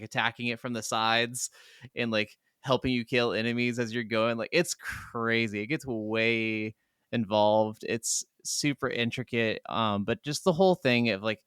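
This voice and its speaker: Smooth-voiced, low-pitched man chatting casually. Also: a bit bright, dry recording, studio quality. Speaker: podcast co-host. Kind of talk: casual chat about video games.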